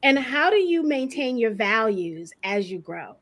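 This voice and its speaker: A woman's voice: fairly high pitch, very animated, unhurried, a bit bright. A woman in her forties speaking conversationally on a video call as an investor judge on a panel. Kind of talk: conversational question to presenter.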